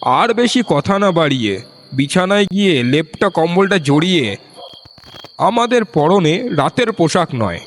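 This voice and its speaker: A man's voice: a little high, slight background noise. A man narrating a scripted first-person ghost story in a storyteller's style.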